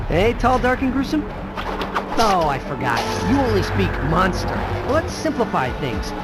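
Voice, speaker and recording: A man's voice: high voice, very animated, moderate pace. An actor playing a cocky yet flustered teenage boy superhero in a video game, quippy, sarcastic and playful. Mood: cocky, taunting. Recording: loud music behind the voice.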